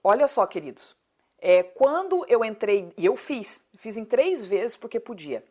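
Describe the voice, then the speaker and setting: A woman's voice: a little high, big pitch swings, unhurried, warm tone. A woman of thirty-eight with a southern Brazilian accent, answering conversationally as an interview guest, emphatic, repeating points and asking rhetorical questions. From Paraná, southern Brazil. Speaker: city council candidate, interview guest.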